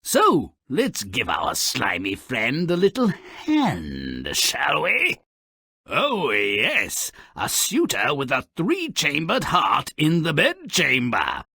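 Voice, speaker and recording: An actor voicing a cynical, gleefully wicked little gremlin who narrates fairy tales in a video game, with a gravelly rasp and a sarcastic, theatrical drawl. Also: male voice, a little high, wildly swooping pitch, slow pace, sharp tone, no echo, studio quality, full character voice. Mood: gleefully scheming. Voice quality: raspy, gravelly.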